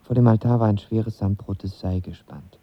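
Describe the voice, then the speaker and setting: A man's voice: lowish voice, normal speed, no room sound, close to an everyday voice. An actor playing a lovestruck, impulsive young man who narrates his romance in an intimate, reflective audio drama style. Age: young adult. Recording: dull studio sound with music behind.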